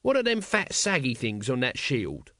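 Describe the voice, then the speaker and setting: Man doing a cheeky, lively scruffy watchman. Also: medium pitch, normal speed, dry recording, clean studio sound. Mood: cheeky, sceptical. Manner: colloquial, cheeky. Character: scruffy, cheeky low-ranking watchman, suspicious and cowardly. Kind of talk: audiobook character voice.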